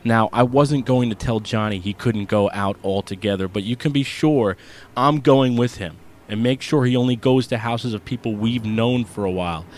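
A man's voice: medium pitch, normal speed, slight background noise, lightly acted. A man voicing a firm, protective middle-aged father who talks in a commanding way. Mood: grave.

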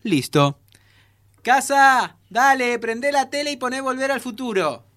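A man's voice: very high voice, lively intonation, a little slow, fairly bright tone, dry recording, exaggerated voice. A man playing a playful, frank, caring 25-year-old time traveller in a naturalistic audio drama, with an Argentine accent and a teasing, informal way of talking.

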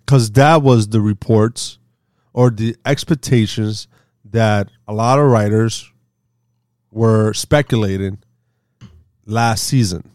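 A man's voice: lowish voice, slow, long pauses, a little mellow, dry recording, clean studio sound. A man in his forties talking baseball in a casual, rambling way as a co-host. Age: 40s. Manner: rambling, chatty, laughs easily. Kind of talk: casual sports talk.

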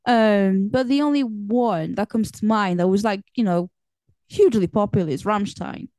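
A woman talks in an easy, rambling way, filling her pauses with ums and likes. Her voice is smooth and clear at an even middle pitch. The sound is close and dry, with no room around it. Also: no room sound. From Portugal. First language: Portuguese. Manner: chatty, meandering, lots of fillers. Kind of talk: casual chat.

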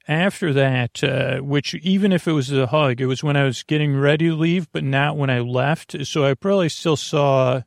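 A man hosting a bedtime podcast in a creaky voice, meandering through tangents and interrupting himself in a lulling, soothing manner. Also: medium pitch, dry recording, clean studio sound. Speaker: podcast host. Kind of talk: rambling bedtime podcast monologue.

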